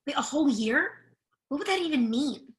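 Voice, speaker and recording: A woman's voice: high-pitched, lively intonation, normal speed. A woman playing a wry, bawdy young would-be model in a play reading, with a teasing way of talking. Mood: curious, wry.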